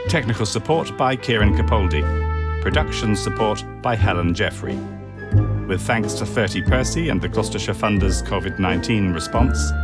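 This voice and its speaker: A man reading scripted end credits as an announcer for an audio drama. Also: lowish voice. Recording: loud guitar music behind voice. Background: guitar music.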